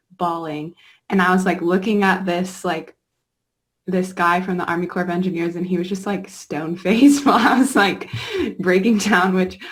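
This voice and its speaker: A young woman activist from Alaska speaking haltingly and earnestly, with many fillers. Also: lowish voice. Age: young adult. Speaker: youth activist guest. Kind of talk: casual panel conversation.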